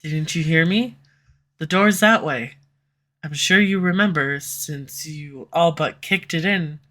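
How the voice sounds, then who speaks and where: A woman voicing a sharp-tongued, tsundere female villain who swears and speaks sarcastically, recorded close on a studio condenser mic. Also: low-pitched, slow. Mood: dismissive. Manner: sarcastic, swears, turns confessional. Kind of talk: audio roleplay. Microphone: studio USB condenser mic.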